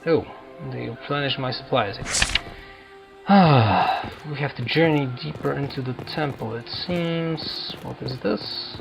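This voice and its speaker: A man plays through a game and comments casually as he goes, musing in halting phrases. His delivery is slow, with a gravelly fry in the voice, and game music runs clearly behind him. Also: mid-range voice, rough recording. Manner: musing, halting, thinking aloud. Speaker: gamer roleplaying through a video game. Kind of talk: casual let's-play commentary. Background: video game music.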